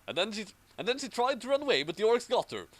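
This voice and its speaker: A man's voice: high voice, very animated, fast talker, no echo. A man reading an amateur story aloud and adding mocking commentary. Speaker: reader and commentator.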